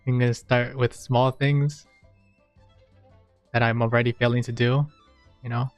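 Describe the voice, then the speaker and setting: Hesitant, self-deprecating game streamer, mid-range pitch. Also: flat delivery, a little slow, no echo. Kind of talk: casual live gameplay commentary. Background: game music.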